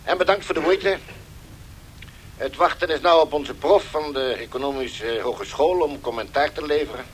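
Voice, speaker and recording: A male actor playing a matter-of-fact radio director, talking casually and briskly in naturalistic dialogue. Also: on the high side, natural voice. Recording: faintly noisy 1970s radio studio tape.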